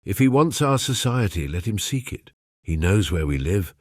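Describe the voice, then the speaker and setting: A man playing a stubborn, irritable older gentleman in a dramatized audiobook, curt and dismissive. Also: fairly low pitch, big pitch swings, moderate pace, no echo, studio quality. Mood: curt, irritated. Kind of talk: dramatized audiobook character acting.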